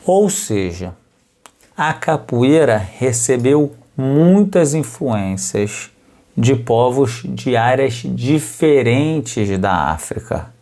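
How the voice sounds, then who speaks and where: A man with a Brazilian Portuguese accent giving educational narration for language learners as a podcast host, speaking deliberately in short phrases with pauses so each word is clear. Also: medium pitch, very animated, dark tone. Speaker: podcast host and capoeira teacher.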